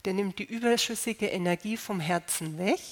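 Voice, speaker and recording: A woman with a slight cold calmly explaining how to use healing stones, unhurried, with fillers and restarts. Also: mid-range voice. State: slight cold, clearing throat. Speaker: gemstone healing presenter.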